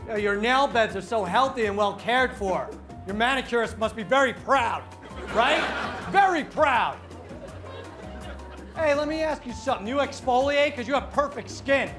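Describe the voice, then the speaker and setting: Middle-aged man, high-pitched, in-your-face street prankster, lapel mic, city traffic and audience laughter. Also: animated, moderate pace. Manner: aggressive, pushy, shouting compliments. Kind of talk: street prank, loud mock compliments. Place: busy city sidewalk outdoors. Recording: outdoor street noise with music and laughter.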